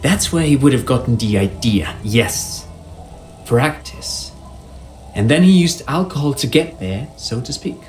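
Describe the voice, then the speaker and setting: An actor playing an earnest, articulate police consultant who muses in an academic way, in video game dialogue with music behind him. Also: male voice, mid-range voice, lively intonation, unhurried, darkish tone, natural voice. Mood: fascinated. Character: earnest, intellectual male police consultant given to theorizing.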